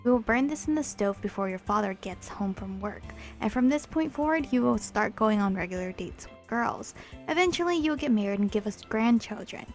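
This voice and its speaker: A woman's voice: on the high side, expressive, a little fast, faint music behind. A woman voicing a stern, furious middle-aged mother in a visual novel, speaking in a cold, commanding way.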